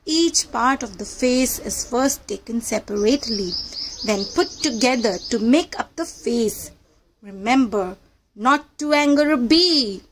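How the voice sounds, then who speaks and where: A woman's voice: a little high, expressive, unhurried, a little hiss or hum, rough recording. A woman narrating a children's read-aloud book in a teacherly manner, addressing young listeners directly.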